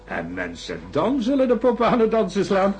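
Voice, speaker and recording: An actor playing a sceptical male scientist with a dry, measured way of talking in a Dutch radio drama. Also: high-pitched, wildly swooping pitch, slight background noise. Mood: keen, intrigued. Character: Walter, sceptical male scientist warming to a theory.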